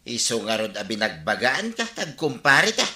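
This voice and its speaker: Stern, forceful village friend. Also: male voice, medium pitch, very animated, moderate pace, echoey room, a little hiss or hum, full character voice. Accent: Ilocano. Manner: admonishing. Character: stern, disappointed male friend of the village captain. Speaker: actor in a comedy drama. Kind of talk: comedic radio drama acting.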